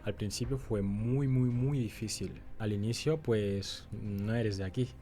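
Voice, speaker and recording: A young man from the Canary Islands speaks as a podcast guest, his voice fairly low. He tells his story reflectively, pausing and restarting phrases as he goes. Faint music plays underneath. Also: steady tone, mellow. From Canary Islands, Spain. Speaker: guest, actor and writer. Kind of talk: conversational storytelling.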